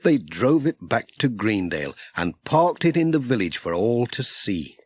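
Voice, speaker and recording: A man narrating a children's story in an expressive, scripted storytelling manner. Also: on the low side, normal speed, slightly rough, no echo. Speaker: audiobook narrator.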